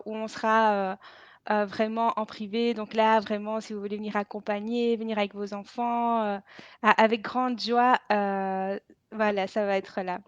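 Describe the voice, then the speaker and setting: A woman's voice: a little high, dry recording. A woman hosting a casual live stream, talking hesitantly with lots of fillers and restarts. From Belgium. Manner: hesitant, many fillers and restarts, frequent laughter. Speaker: laughter yoga host. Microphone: added microphone. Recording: dull lo-fi live stream audio.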